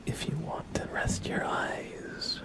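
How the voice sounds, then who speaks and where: A young man hosting a relaxed ASMR livestream, mostly whispering and speaking softly in a reassuring, encouraging way. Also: takes it slowly. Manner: soft-spoken, mostly whispering. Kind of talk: relaxed ASMR, chatting with viewers and reading tarot cards.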